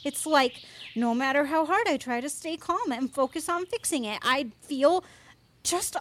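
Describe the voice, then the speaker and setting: A woman's voice: high-pitched, a little slow, fairly bright tone, a little hiss or hum. An actress playing an anxious, guilt-ridden woman in an audio drama, speaking earnestly and with exasperated emotion. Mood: distraught. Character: anxious, guilt-ridden woman, abuse survivor facing an inquiry. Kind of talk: fiction podcast audio drama acting.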